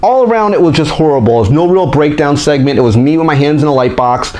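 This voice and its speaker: A man's voice: a little high, fast, darkish tone. A man hosting a toy collector vlog, talking casually and candidly into a desk microphone, often restarting and voicing his frustrations. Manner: chatty, candid, frequently restarts and vents frustration. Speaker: toy collector channel host. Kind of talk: casual monthly update vlog.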